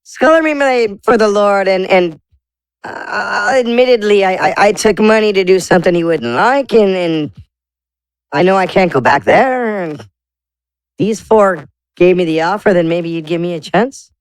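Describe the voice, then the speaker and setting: A woman playing an eager, earthy young scullery maid who talks in a chatty, halting way in a tabletop roleplay. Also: medium pitch, clear, resonant tone, no echo, lightly acted. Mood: nervous, deferential.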